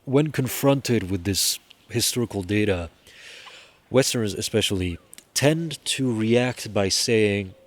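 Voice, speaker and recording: A young man with a fairly low voice talks straight to his phone camera at home. He explains with earnest emphasis, rambling and restarting his sentences as he goes. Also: lively intonation, slow pace. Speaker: music history presenter. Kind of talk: casual explanatory talk to camera. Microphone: phone camera at arm's length.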